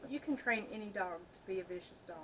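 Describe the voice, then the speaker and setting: Female co-host, casual crude banter, medium pitch, clearly noisy amateur recording. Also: fast. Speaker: co-host of amateur comedy video. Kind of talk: casual chat.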